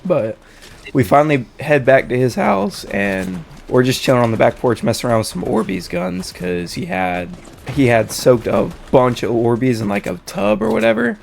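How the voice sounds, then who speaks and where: A young man, slightly hoarse and stuffed up with a cold, telling a story in casual, slangy, sweary talk. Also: mid-range voice, big pitch swings, moderate pace, a little mellow, slight background noise. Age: young adult. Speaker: storytelling host.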